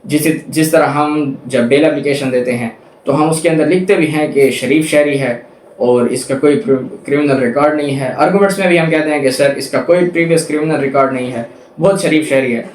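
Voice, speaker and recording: A man's voice: a little high, a little fast, darkish tone. A man with a Pakistani accent giving an explanatory lecture in a didactic way, repeating points for emphasis. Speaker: law lecturer. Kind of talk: explanatory lecture, reading statutes and paraphrasing them. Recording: echoey room with faint background noise.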